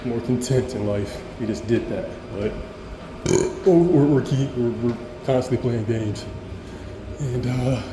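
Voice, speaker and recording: A man with a deep voice speaks in an earnest, rambling vlog monologue. He restarts sentences and stammers often. Loud traffic and air-conditioning noise hum behind him throughout. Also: unhurried. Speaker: vlogger. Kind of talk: casual monologue to camera.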